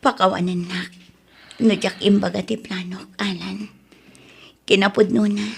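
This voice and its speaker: A woman voicing a young wife and mother who plans to work abroad, speaking softly and earnestly in an audio drama. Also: medium pitch, little pitch movement, a little slow, vocal fry, husky, heavily acted.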